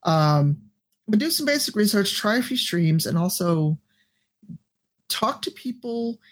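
A woman with a deep voice, a podcast guest and streamer giving blunt, profane advice in casual chat. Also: big pitch swings, a little slow.

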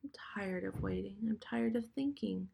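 A woman playing ill young woman, weary, quiet, whispery. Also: medium pitch, lively intonation, moderate pace, gravelly, slight background noise. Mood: resigned.

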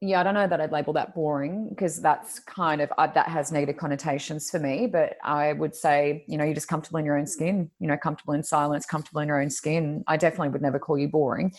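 A woman with a loud voice, a podcast co-host telling a personal story in casual conversation and acting out her own thoughts. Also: deep voice, steady tone, fast talker, few pauses.

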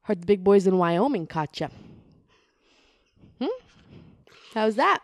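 A woman's voice: mid-range voice, animated, takes it slowly, no room sound, clean studio sound. A woman playing a hostile bad cop who goads and swears at a suspect during an interrogation. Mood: menacing. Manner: sneering, goading, repeats "huh?", swears.

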